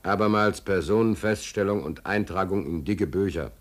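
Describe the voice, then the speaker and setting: Deep-voiced, deadpan drifter played by a man. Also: normal speed, faint music behind, natural voice. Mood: deadpan, laconic. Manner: laconic, deadpan. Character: wry, stateless male drifter recounting his prison days. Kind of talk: German radio play acting, dry first-person narration.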